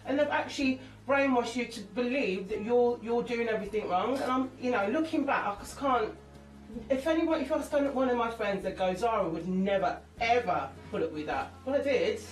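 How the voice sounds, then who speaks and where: A woman, a singer and actress, talking candidly as an interview guest, lively and jokey with many restarts. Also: a little high, fairly quick. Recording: echoey room, far from mic, music behind.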